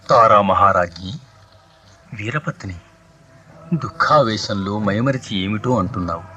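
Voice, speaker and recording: A man's voice: lowish voice, measured pace, bright, forceful tone, lo-fi sound, a little hiss or hum. An actor playing a noble, compassionate, sorrowful god-king in a 1980s radio mythological play, stately and earnest in delivery. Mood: solemn consoling.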